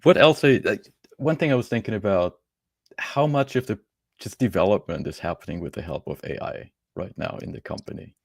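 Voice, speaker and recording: A man's voice: fairly low pitch, expressive, a little fast, creaky, husky, no echo. A man hosting a podcast interview as a tech journalist, talking in a casual, conversational style.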